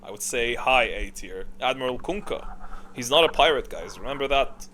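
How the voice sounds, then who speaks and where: An ordinary mid-pitched male voice, recorded with some background noise. He talks like a video creator ranking things off the cuff, blunt and informal. He often stalls mid-sentence as he works out his opinion. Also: lively intonation, bright, forceful tone. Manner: opinionated, informal, mildly profane; halting, thinking aloud mid-sentence. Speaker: video creator giving a tier list. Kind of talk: casual off-the-cuff ranking commentary. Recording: clearly audible background noise.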